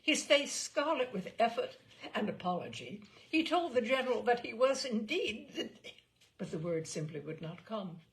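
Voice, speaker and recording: A woman's voice: on the high side, very animated, moderate pace. A woman reading literary stories aloud as a storyteller, in a dramatised narration style. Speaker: storyteller, reader of literary selections.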